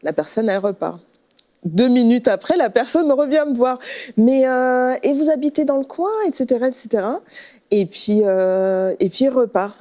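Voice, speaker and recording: A middle-aged woman from the Paris region with a French accent, telling her personal testimony conversationally, often pausing to rephrase. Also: a little high, dark tone. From Paris region, France. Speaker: pastor, guest giving testimony.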